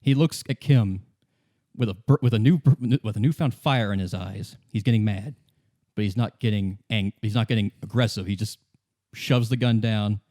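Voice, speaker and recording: A man acting as game master, narrating a story in the second person in an informal, halting, self-correcting way. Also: on the low side, dark tone.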